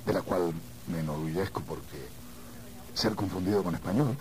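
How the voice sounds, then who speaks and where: A mature man from Argentina reminiscing in a conversational interview, haltingly, repeating words as he searches for the next. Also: low voice, a little mellow, slight background noise, low-quality recording. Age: middle-aged or older. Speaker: singer being interviewed.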